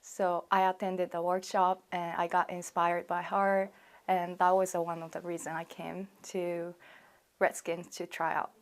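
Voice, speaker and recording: A woman's voice: lowish voice, little pitch movement, measured pace. A woman cheerleader telling her story in simple, carefully phrased sentences in an interview. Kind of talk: interview testimony.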